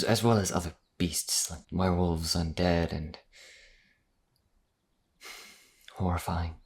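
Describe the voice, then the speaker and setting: A man's voice: darkish tone, full character voice. A man playing a modest, earnest vampire wanderer in a fantasy audio roleplay, explaining things in a hesitant, conversational way. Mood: grave, explanatory. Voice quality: deep, husky.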